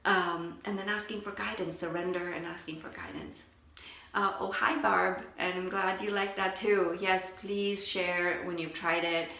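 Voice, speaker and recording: A woman's voice: on the low side, steady tone, unhurried, bright, forceful tone. A woman, a spiritual coach, chatting and reflecting on a livestream as she answers her viewers. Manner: chatty and reflective, often says "like". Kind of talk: casual livestream talk to viewers. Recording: echoey room.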